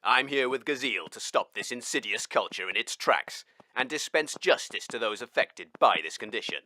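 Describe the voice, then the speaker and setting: A man's voice: medium pitch, lively intonation, normal speed, bright, forceful tone, no echo. A man playing a pompous, melodramatic crusading reporter delivering a mock-serious comedy report. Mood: grandly solemn. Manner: grandiose, melodramatic. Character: pompous crusading male reporter dispensing justice.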